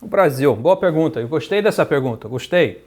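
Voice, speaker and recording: Brief: a man as a boastful American impostor, cocky, a touch high-pitched, crude comedy sketch. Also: expressive, fairly quick, a little mellow, natural voice. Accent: American English. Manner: smug, brags, crude innuendo. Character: boastful fake Trump, later a scheming rival gringo.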